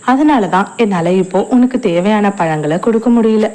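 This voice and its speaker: A woman voicing a wise, kindly talking apple tree in a cartoon storybook, solemn and loud with friendly warmth. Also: medium pitch, normal speed, lightly acted.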